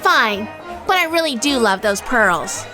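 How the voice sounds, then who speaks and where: An actress playing a feisty, flirtatious and sardonic gangster's wife in a 1930s-style radio drama. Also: female voice, a little high, sing-song, measured pace, over music, crowd noise. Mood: teasing, sassy. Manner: sassy, sardonic. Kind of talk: hardboiled period radio drama dialogue and first-person narration.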